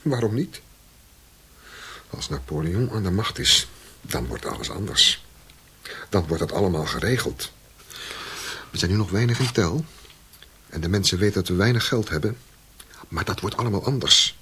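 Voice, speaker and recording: An actor playing a self-satisfied petty-bourgeois husband in a radio drama, smug and assured, hungry for money and position. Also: male voice, sits low, lively intonation, normal speed, pauses often, fairly bright tone, husky, exaggerated voice.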